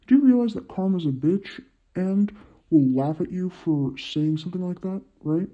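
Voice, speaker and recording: A woman voicing a gruff, wry male vigilante and older brother in an audio story, with muffled sound. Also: lowish voice, lively intonation, normal speed.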